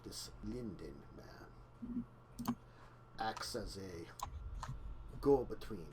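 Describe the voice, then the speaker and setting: A man's voice: on the low side, animated, very slow, background noise. A man running a tabletop vampire game as game master, talking casually with many fillers.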